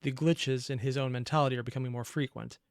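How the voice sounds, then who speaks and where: A man's voice: medium pitch, steady tone, fast, vocal fry, no room sound, studio quality. A man hosting a reaction video, giving informal, opinionated, analytical commentary off the cuff.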